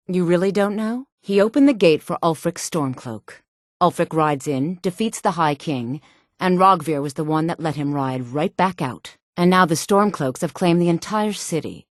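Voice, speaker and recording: A woman voicing a sultry, sharp-tongued, disdainful townswoman and courtier in a fantasy video game. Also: low-pitched, no room sound, clean studio sound. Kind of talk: video game character dialogue.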